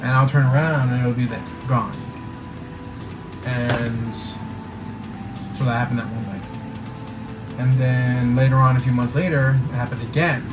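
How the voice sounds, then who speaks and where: A man's voice: medium pitch, steady tone, unhurried, long pauses. A young American man chatting informally in slangy, casual speech, picked up from a little way off. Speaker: makeup artist, guest. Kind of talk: casual chat. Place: small makeup studio, indoors. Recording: distant mic, music behind.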